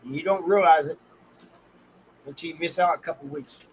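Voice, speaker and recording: A man's voice: fairly high pitch, fairly quick, slightly rough, poor audio. An American man hosting a casual livestream, talking in a folksy, colloquial way and addressing his viewers by name. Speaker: livestream host.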